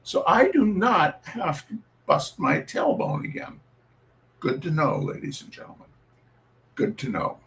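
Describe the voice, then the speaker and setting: Male host, high voice with some fry, theatrical and emphatic, for comic readings and informal audience chat. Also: wildly swooping pitch, measured pace, a bit bright. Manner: showman-like, emphatic, repeats phrases. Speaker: host reading humour pieces.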